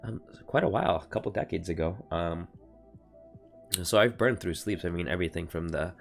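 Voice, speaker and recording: Stuffy low-voiced American man, gravelly. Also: brisk, faint music behind. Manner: rambling, many fillers and self-corrections. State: stuffy from allergies. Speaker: streamer reviewing card game accessories. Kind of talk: casual product review livestream. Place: home desk room.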